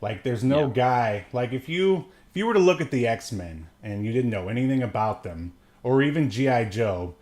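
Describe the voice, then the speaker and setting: Man chatting haltingly as podcast co-host. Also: mid-range voice, lively intonation, a little mellow. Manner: halting, chuckles through sentences. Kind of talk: casual chat, movie news commentary.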